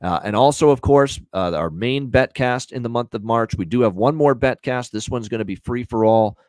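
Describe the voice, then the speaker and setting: A man's voice: medium pitch, moderate pace, darkish tone, dry recording. A man hosting a sports betting show, talking off the cuff in an emphatic way that repeats phrases for stress. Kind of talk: unscripted betting show commentary and promotion.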